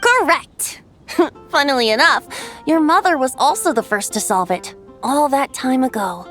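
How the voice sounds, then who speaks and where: A woman's voice: high-pitched, expressive, measured pace, fairly bright tone. A woman voicing a playful young male bard god in a game, cheerful and teasing with frequent laughs. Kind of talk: game voice acting. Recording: game audio with music behind.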